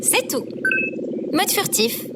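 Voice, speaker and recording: A woman's voice: slow pace, lightly acted. A woman playing an earnest, naive and polite female robot assistant in an audio drama. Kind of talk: scripted audio drama acting. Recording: very noisy background ambience.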